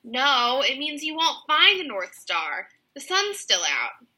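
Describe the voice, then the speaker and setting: A woman's voice: high-pitched, sing-song, takes it slowly, pushed and bright, voice pushed up, full character voice, poor audio. A woman voicing an indignant, exasperated young boy in a children's story, spluttering in protest.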